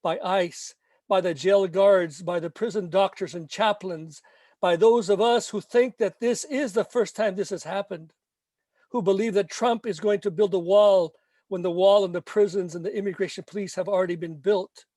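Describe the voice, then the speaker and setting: High-voiced man reading protest poetry. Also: warm tone, dry recording. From Mexico. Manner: slow chanting repetition, emphatic. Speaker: poet.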